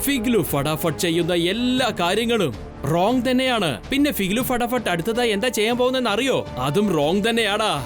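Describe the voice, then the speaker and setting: Gloating cartoon villain. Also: male voice, sits high, lively intonation, brisk. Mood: boastful. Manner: gloating, mocking laughter. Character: boastful greedy male villain who melts things. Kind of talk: dubbed cartoon acting. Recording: studio dub over cartoon music.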